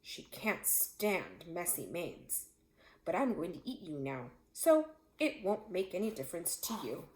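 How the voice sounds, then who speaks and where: Storybook lion, played by a woman: male, vain, hot-tempered, blustering, very animated pitch, roomy sound. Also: a little slow. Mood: upset, fretful. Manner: blustering, emphatic. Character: angry, vain male lion, fretting over mane. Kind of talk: storybook character voice. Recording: echoey room.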